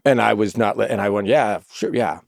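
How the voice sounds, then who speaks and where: A middle-aged man, an actor, telling stories on a podcast in an animated way and swearing freely. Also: lowish voice, fairly quick, vocal fry, dry recording, clean studio sound. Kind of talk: casual podcast chat.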